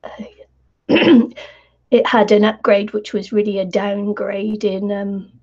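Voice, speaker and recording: Croaky older woman chatting on a stream. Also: medium pitch, level tone, takes it slowly, darkish tone. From New Zealand. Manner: chatty, laughs often, many fillers. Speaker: crafting channel host. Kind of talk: casual live stream chat. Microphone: computer mic on live stream. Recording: dull lo-fi stream audio.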